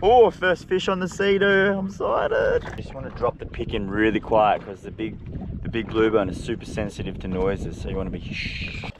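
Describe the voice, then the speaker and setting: A young Australian man vlogging from a jet ski at sea, talking with excited, slangy enthusiasm straight to his viewers through an action camera held at arm's length. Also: fairly low pitch, moderate pace. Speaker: spearfishing vlogger. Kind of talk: excited vlog talk to camera. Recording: wind and water noise outdoors.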